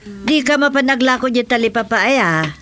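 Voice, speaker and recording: An actress playing an impatient, blunt village auntie shopping at a stall in a radio drama, with an Ilocano Filipino accent. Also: female voice, fairly high pitch, a little fast, a bit bright, natural voice. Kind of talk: comedy radio drama acting.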